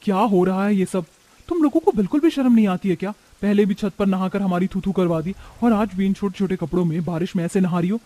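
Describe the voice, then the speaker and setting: High-voiced scolding older mother-in-law played by a man. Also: fast, dark tone, lightly acted. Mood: angry scolding. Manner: exasperated, reproachful.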